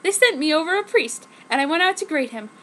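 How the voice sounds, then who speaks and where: A woman's voice: high-pitched, animated, fast, pushed and bright. A woman giving an exaggerated, mock-serious dramatic reading of a story as an amateur fanfiction reader. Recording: noticeable background noise.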